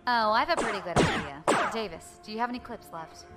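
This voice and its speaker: An actress playing a wry, defiant space pirate leader with a flippant, confident manner in an audio drama. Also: female voice, mid-range voice, brisk, over music, close to an everyday voice. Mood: wry, resigned.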